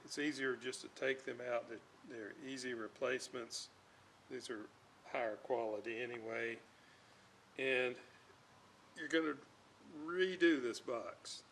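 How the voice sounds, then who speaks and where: A man giving an unscripted hands-on repair demonstration, talking in a halting way as he thinks aloud. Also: mid-range voice, fairly bright tone. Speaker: host, electronics restorer. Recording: clear background noise.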